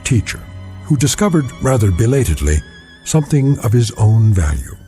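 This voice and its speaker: An actor narrating a dramatic anthology show in a grave, measured, portentous manner. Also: male voice, medium pitch, big pitch swings, warm tone, lightly acted. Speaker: host narrator. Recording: music behind the voice.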